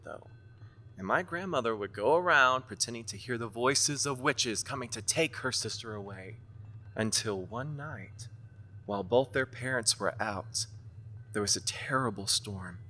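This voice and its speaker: A man's voice: on the low side, pushed and bright. A man playing an anxious, irritable young woman in a horror audio drama, sarcastic and defensive, with an intense way of telling a tale.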